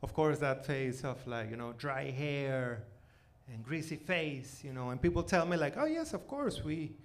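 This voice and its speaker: A forty-year-old man with a Venezuelan accent and native Spanish tells stand-up stories conversationally, haltingly repeating his words. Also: mid-range voice, lively intonation. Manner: halting, repeats words, punctuated with "you know" and "like". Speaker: stand-up comedian. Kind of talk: stand-up comedy, conversational storytelling. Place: comedy club stage, live performance. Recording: noisy live club recording.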